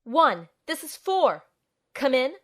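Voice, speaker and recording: A woman's voice: sits high, slow pace, full character voice. A woman playing an earnest, determined young operative, telling and acting out her mission expressively in an audio drama. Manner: expressive, storytelling. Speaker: narrator and lead character.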